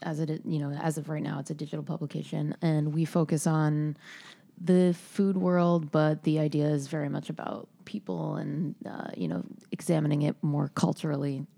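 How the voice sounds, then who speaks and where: A woman with a deep, warm voice and a gravelly texture speaks as a podcast guest. She talks loosely and without hurry, with her mouth very close to the microphone. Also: little pitch movement, normal speed. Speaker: digital magazine founder, interview guest. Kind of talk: casual podcast interview.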